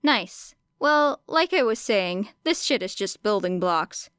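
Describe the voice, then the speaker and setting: A woman's voice: medium pitch, lively intonation, takes it slowly, a bit bright, clean tone, dry recording, studio quality. A woman voicing a brash, teasing young female street fighter who coaches bluntly and casually in an audiobook. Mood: brisk, instructive. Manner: blunt, cocky, casual, sweary. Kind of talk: audiobook character dialogue.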